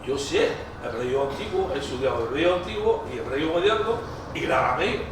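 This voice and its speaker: A man's voice: medium pitch, expressive, a little fast. A middle-aged man from Iraq, whose native tongue is Aramaic, lectures in a church with an Iraqi Arabic accent. He speaks emphatically, repeats phrases, and often slips and restarts words. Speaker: guest lecturer. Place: large echoey church hall. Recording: echoey hall audio from a distance with noise.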